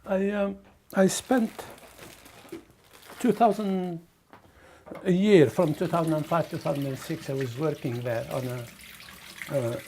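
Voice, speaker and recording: A man's voice: fairly high pitch, takes it slowly, pauses often, mellow. A middle-aged man from Algeria, not a native English speaker, chatting casually and hesitantly with repeated words. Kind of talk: casual conversational recollection. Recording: clearly noisy documentary location sound.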